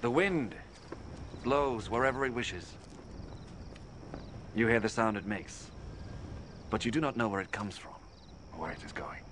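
An actor playing an earnest, authoritative holy teacher in a biblical film drama. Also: male voice, on the low side, lively intonation, a little slow, long pauses, fairly bright tone, slight background noise. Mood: fervent. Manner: earnest, declarative.

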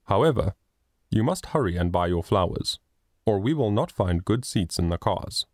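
A cheery, teasing father with a deep, jovial voice, as a man reading a storybook puts him on. He jokes lightly in a lively tone that swings up and down, close and dry. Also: moderate pace, dry recording, lightly acted.

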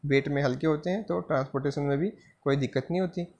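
A man giving a civil engineering slide lecture. He reads each line slowly, then explains it in Hindi, with frequent "theek hai" fillers. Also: a little high, a little mellow, breathy edge. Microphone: voice-over on screen-recorded slides.

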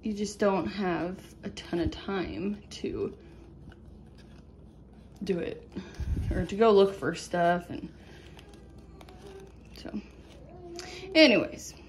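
A woman's voice: mid-range voice, slow, long pauses. A woman rambles through a home kitchen project, stopping and restarting her sentences with plenty of ums. She is recorded on a handheld phone indoors. A faint background hiss sits under her voice. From Nebraska, USA. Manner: chatty, rambling, many "um"s and restarts. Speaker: farm vlogger. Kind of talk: casual DIY vlog narration. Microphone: handheld phone camera.

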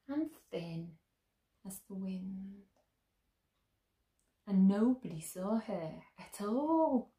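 A young woman reading a picture book aloud to children as a storyteller, expressively, with gentle questions to her listeners. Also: high voice. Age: young adult. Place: small room at home, indoors. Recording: roomy indoor echo.

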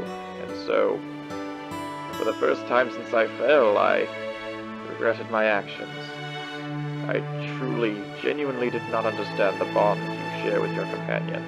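A man's voice: fairly low pitch, gravelly. An actor playing a proud, theatrical male demon with formal, elaborate diction and an old radio filter in a comic dub. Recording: muffled band-limited sound, music behind. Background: music.

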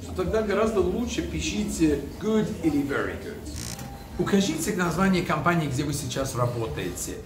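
A man in his fifties hosting a casual advice vlog, speaking straight to the camera in a restaurant room with a lively, direct manner. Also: fairly high pitch, normal speed, recorded from a distance. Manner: lively, direct, talks with his hands while holding a glass. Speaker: video host. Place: indoors in a decorated restaurant room. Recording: roomy, a little off-mic, music underneath.

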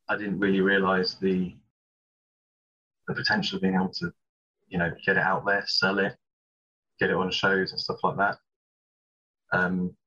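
A man's voice: fairly low pitch, sharp tone. A man, a composer guest, talking hesitantly with many ums. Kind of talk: casual interview chat. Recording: dull lo-fi sound.

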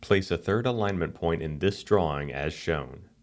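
Man, very low voice, calm scripted tutorial narration, steady and instructional. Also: moderate pace.

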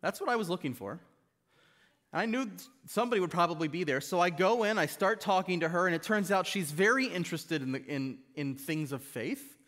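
A man in his thirties preaching a sermon from a pulpit in a church hall, animated and rising to emphatic peaks, reading scripture aloud into a stand mic. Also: high-pitched, a little fast. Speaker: guest preacher. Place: church stage, mid-size indoor hall.

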